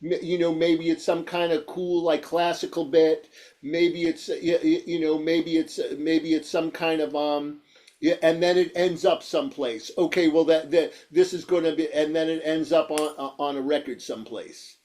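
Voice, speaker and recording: A man with a high, nasal voice and a New York accent. He talks casually like a musician being interviewed, stumbling over words, repeating them and padding his rambling sentences with filler phrases. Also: steady tone, normal speed, a little mellow. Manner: rambling, stammering, repeats words and says "you know" often. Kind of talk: casual chat.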